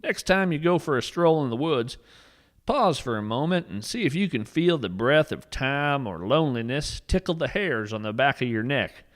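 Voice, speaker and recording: A man's voice: mid-range voice, expressive, moderate pace, close to an everyday voice. Folksy naturalist storyteller, played by a man, swooping intonation. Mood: musing. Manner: folksy, theatrical storyteller delivery. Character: folksy eccentric male naturalist adventurer, earnest and whimsical. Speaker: podcast host and storyteller. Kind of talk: scripted fiction podcast narration.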